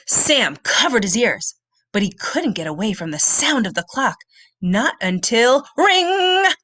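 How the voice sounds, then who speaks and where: A woman reading a children's book aloud as a storyteller, with expressive, dramatic and suspenseful delivery. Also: a little high, unhurried, dry recording.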